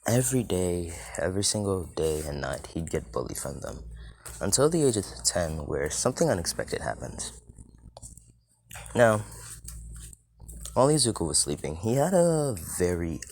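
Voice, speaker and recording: A man's voice: low-pitched, sing-song, moderate pace, pauses often, a little mellow. A man narrating a fan-made story in a loose, chatty way, swearing casually and drifting into side remarks, with a scratchy voice.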